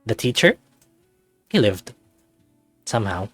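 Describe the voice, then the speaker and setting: A man's voice: on the low side, very animated, takes it slowly, a bit bright, faint music behind, heavily acted. A man voicing a sarcastic, anxious young furry student in a visual novel, narrating in a wry, self-deprecating, conversational way. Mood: cocky storytelling. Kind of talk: visual novel character voice-over.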